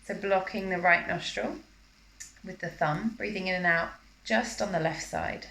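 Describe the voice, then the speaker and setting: Low-pitched woman yoga teacher, roomy sound. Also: gravelly, slight background noise. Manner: calm, measured, instructional. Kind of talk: guided breathwork instruction in a live yoga class. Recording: roomy, echoing live class recording.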